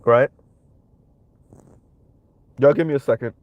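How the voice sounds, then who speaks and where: A man's voice: mid-range voice, slow, no room sound, phone-quality sound. A young man with a cold and a stuffy voice, hosting a livestream of emphatic social commentary full of tag questions. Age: young adult. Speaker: commentary channel host.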